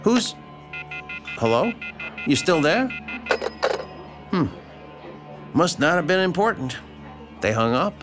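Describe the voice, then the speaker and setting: An actor playing a blunt, folksy small-town regular in an audio drama, with a wry manner. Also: male voice, fairly high pitch, big pitch swings, slow pace, pauses often, music in the background. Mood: matter-of-fact.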